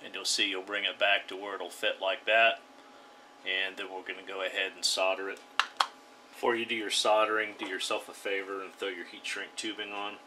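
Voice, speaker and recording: A man hosting a radio hobby channel, calmly talking viewers through a hands-on build step by step. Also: sits low, a little fast, noisy background. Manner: plain, instructive, step-by-step. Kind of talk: DIY tutorial voice-over.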